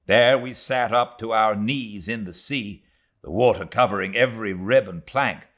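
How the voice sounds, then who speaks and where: A British man giving a dramatic reading of a literary audiobook, theatrical in delivery, moving between swelling intensity and hushed tones. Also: lowish voice. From Britain. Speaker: audiobook narrator.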